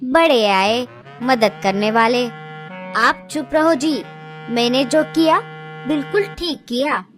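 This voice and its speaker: Cartoon role: a woman as a high-voiced, stingy, dismissive bird. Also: takes it slowly, fairly bright tone, soft background music. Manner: curt and dismissive. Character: selfish stingy female bird neighbour.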